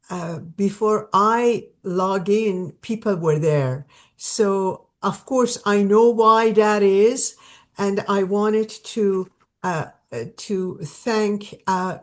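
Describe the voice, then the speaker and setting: Slow, hesitant woman hosting a video call. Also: mid-range voice. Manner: hesitant, many fillers and restarts. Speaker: host of an online gathering. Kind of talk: warm spontaneous welcome and introduction.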